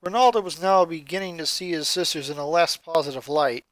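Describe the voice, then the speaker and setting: A woman's voice: deep voice, a little fast, dry recording. A woman reading scripted fan fiction as a story narrator.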